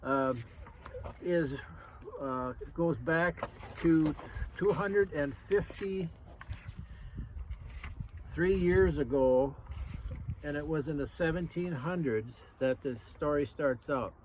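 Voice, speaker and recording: A man's voice: fairly high pitch, a little mellow. Older man explaining, with noisy background. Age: 70s. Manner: halting, plain-spoken, explains things simply. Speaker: grandfather, guest reader and outdoorsman. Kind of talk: casual show-and-tell for children.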